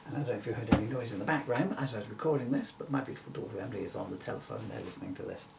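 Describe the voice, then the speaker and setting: A middle-aged man reading a children's story-poem aloud in an expressive, theatrical way. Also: on the low side, rapid-fire, creaky, far from the mic, slight background noise. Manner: animated, theatrical. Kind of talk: expressive rhyming storybook narration.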